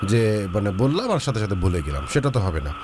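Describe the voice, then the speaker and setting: Blunt, fast-talking male advice host. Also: fairly low pitch, sing-song, background noise. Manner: blunt, impatient questioning. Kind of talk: counseling a caller.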